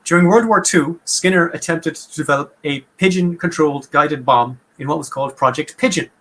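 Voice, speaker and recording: A man with an Irish Munster accent, talking through a topic on a casual podcast, quick and wry. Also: a little high, fairly bright tone. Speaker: podcast host. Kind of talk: casual explanatory chat.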